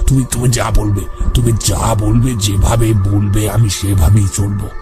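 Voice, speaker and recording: A man plays a repentant tantric holy man, solemn and devout. His low voice moves slowly and haltingly, with loud music underneath, as in an audio horror play. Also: flat delivery, lightly acted. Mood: pleading, desperate. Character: repentant male tantric ascetic, humbled, solemn devotee of the goddess. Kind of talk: dramatic audio horror story acting.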